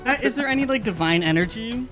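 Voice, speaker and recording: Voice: medium pitch, animated, very fast, over loud music. A player in a tabletop game, improvising in casual chat with the group.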